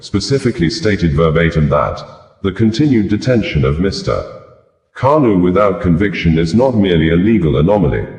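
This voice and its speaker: A man reading scripted news in a formal, deliberate way. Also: deep voice, a little mellow, echoey room. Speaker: news reader.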